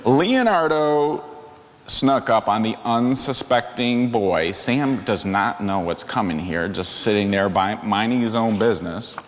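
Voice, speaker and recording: Unhurried man reading aloud in a reverberant hall. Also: on the low side, expressive. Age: middle-aged. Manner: unhurried, playful, chatty asides. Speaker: minister reading a picture book to children. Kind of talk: animated read-aloud story time with asides to children. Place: large church hall, seated among children. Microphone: lavalier clipped to shirt. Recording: reverberant hall, faint background noise.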